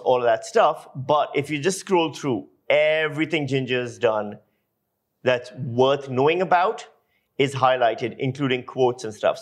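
Male lecturer and audio journalist from Pakistan. Informal teaching, emphatic and explanatory, asks rhetorical questions. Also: medium pitch. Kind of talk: informal instructional talk.

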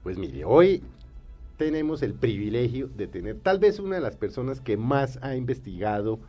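A male host leads an interview in an even, mid-pitched voice. He fills gaps with hesitations while introducing his guest and pushes with keen follow-ups. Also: moderate pace, soft background music.

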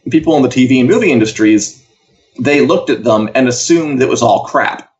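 A man's voice: medium pitch, fairly quick, a little mellow. Male co-host, casual pop-culture chat, mid-range voice on slightly muffled lo-fi audio. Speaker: co-host of an entertainment news show.

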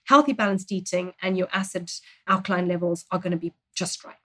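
A woman who grew up in Zambia, a dietitian guest on a talk show, explaining calmly and earnestly. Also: fairly low pitch, a little fast. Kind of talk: expert explaining in interview.

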